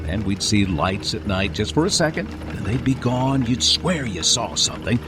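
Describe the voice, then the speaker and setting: Man acting folksy low-voiced farm boss, very animated. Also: normal speed, over music, natural voice. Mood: hesitant, uneasy. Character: grumbly older farm-owner radio boss, gruff but folksy. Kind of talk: audio drama acting.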